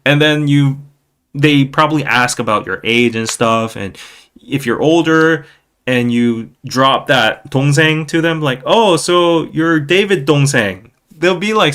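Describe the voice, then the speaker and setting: A man hosting a casual livestream, talking in a playful, rambling way with halting restarts and replies to viewers. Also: a little high, a bit bright. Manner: playful, jokey, often chuckling. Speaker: podcast livestream host. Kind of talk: casual livestream chat, reading viewer comments.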